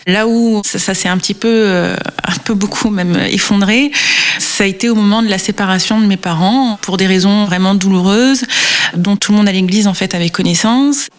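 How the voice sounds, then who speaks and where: A woman in her thirties, a guest on an interview show, telling her faith story in a reflective, hesitant way with many pauses. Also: medium pitch. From France (Marseille, Réunion). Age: 30s. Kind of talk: personal testimony interview.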